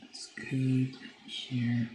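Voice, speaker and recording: A man with a French accent chatting on a live stream while he paints, reading chat and pausing often with fillers. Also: medium pitch, monotone, a little mellow. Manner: hesitant, many filler pauses, reads chat aloud. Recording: echoey room with faint background noise.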